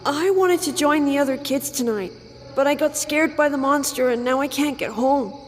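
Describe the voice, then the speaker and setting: A woman's voice: high voice, normal speed, natural voice. A woman playing a shy, tearful, apologetic little ghost boy in a children's audio story. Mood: timid, sad. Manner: timid, apologetic. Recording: long reverb on the voice. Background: music.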